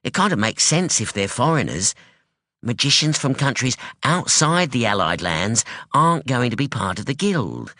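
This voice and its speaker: A man voicing a sharp, defiant young woman who talks bluntly and insistently, in an audiobook. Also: medium pitch, very animated, normal speed, no room sound, clean studio sound, pitched above the performer's own voice. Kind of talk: audiobook dialogue.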